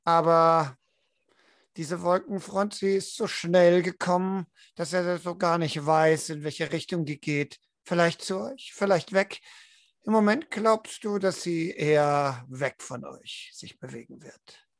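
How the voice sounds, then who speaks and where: Slow-speaking young man narrating as game master. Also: on the high side, vocal fry, no room sound, studio quality. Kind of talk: narrating a tabletop roleplaying game. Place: home room, online video call.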